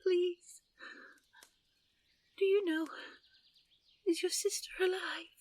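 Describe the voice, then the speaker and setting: Lady playing a timid young fairy girl in audio roleplay, high voice, kinda slow, hesitant and pleading, very earnest. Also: fairly even intonation, a little mellow. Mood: anguished, pleading.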